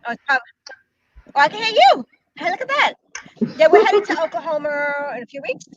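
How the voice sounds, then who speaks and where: A woman's voice: high voice, big pitch swings, brisk, no room sound, muffled recording. A giggly, chatty woman from New York talking casually on a livestream. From New York, the Bronx and Long Island. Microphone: internet video call. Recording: noisy internet call with clicks.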